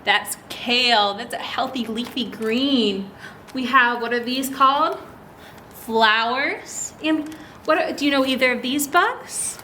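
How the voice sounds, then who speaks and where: High, bright-voiced woman reading to children. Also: takes it slowly, slight background noise. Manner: teacherly, addresses children directly with many questions. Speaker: children's story time host at a public library. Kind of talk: read-aloud for young children with explanations and questions.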